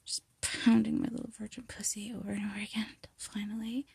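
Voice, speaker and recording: Female, low-pitched and very gravelly, for intimate seductive roleplay narration; teasing, half-whispered delivery, close and dry. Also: level tone, quick pace, darkish tone, no room sound. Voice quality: breathy, husky, gravelly. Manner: sultry, teasing, often dropping into whispers. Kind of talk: intimate erotic audio roleplay monologue. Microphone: close mic, dry sound.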